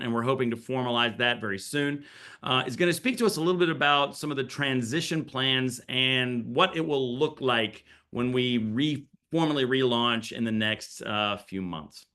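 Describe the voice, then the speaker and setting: A man with a bright, forceful voice opens a video-call session as its host. He talks in a friendly, chatty way, with small fillers and quick self-corrections along the way. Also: mid-range voice. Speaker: regions director hosting an online info session. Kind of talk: casual scripted welcome on a video call.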